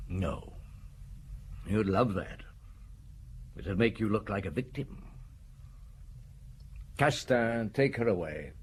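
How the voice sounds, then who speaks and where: A stern middle-aged police inspector, pressing suspects with blunt questions. His voice sits a little low. Faint room hum lies under this radio drama. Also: animated, slow pace, pauses often. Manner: blunt, probing interrogator.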